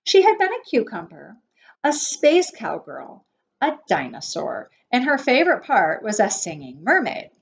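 High, lilting woman reading a storybook. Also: huge pitch swings, unhurried, gravelly. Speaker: preschool teacher. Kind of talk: children's picture book read-aloud. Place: small wood-panelled room indoors. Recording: dull webcam audio with faint noise.

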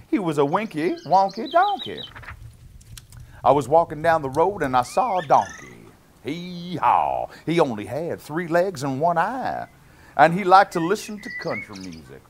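A man's voice: a little high, huge pitch swings, unhurried, a little hiss or hum. A middle-aged man playing a jolly Canadian lumberjack, reading a picture book to children with playful, exaggerated sing-song rhythms and chuckly asides. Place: small indoor cabin-style set.

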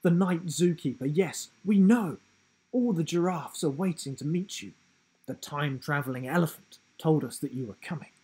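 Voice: medium pitch, expressive, normal speed, a little mellow, husky, poor audio. A storyteller voicing a goofy, joking male giraffe who teases cheerfully in a storybook reading. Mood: eager. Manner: cheerful, teasing, playful. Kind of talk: storybook character voice.

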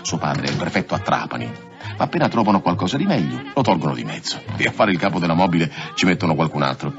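An actor in an Italian radio drama playing a pragmatic, cautious police subordinate who confides gossip in a colloquial way. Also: male voice, sits low, big pitch swings, fast, highs cut off, faint music behind. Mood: wry, cautioning.

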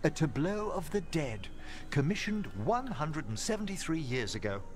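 A man's voice: a little high, very animated, moderate pace. A man playing a courteous, scholarly middle-aged necromancer in a video game, with formal, precise speech. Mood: solemn.

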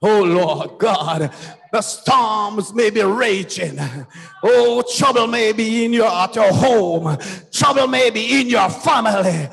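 A man preaches in a slow, shouted, half-sung cadence. His voice sits unusually high and carries a gravelly fry at its edges. It rings out in a long hall reverb over faint music. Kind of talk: fervent shouted sermon.

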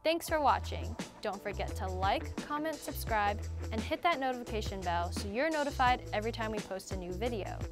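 A woman reading a scripted explainer as narrator, in a measured way. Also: on the high side, lively intonation. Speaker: narrator and presenter. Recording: music bed under voice. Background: music.

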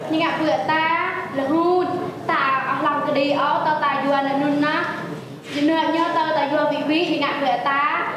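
High young student played by a woman, noisy echo. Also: steady tone, normal speed, a crowd in the background, natural voice. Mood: conversational. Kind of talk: acted dialogue in an educational film. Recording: very echoey, clearly noisy location sound.

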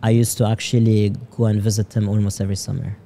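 A man in his thirties from Lebanon, a startup CEO answering interview questions in a halting way, often repeating words, on a boom-arm studio mic in an office lounge. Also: little pitch movement, creaky, mellow, slight background noise. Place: office lounge podcast set.

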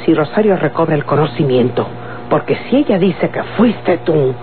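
A woman's voice: deep voice, lively intonation, normal speed. A woman playing a scheming, domineering young Mexican villainess in a radionovela, scolding and commanding with dramatic delivery. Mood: cold, scheming. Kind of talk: Mexican golden-age radionovela acting. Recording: tinny old radio with clear hum.

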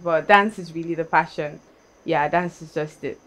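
A young woman from Nigeria talks casually about her life as a dancer. Her voice sits a little low, and she laughs often as she chats. She is recorded in a studio with a small headset mic. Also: moderate pace. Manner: laughs often, chatty and lively. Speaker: guest, dancer. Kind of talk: casual interview chat. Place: decorated studio set.

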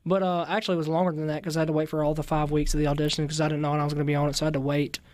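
Raspy teenage boy, polite. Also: a little high, little pitch movement, a little mellow, no echo. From Mississippi-Louisiana border. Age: teens. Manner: polite, halting, many fillers. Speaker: interview guest, singer. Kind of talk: casual interview. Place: radio studio. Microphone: studio mic with headphones. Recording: dry close studio sound.

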